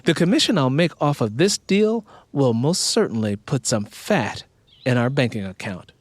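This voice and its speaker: Man voicing an eager, persuasive wife, animated delivery. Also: mid-range voice, normal speed, no room sound. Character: adult wife, eager, persuasive, house-proud real estate agent. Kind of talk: audiobook character dialogue.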